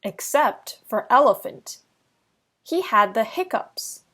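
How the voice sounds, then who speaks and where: A woman reading a children's picture book aloud as narrator, deliberately, with pauses between short phrases. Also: on the high side, sing-song, fairly bright tone. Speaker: storybook reader.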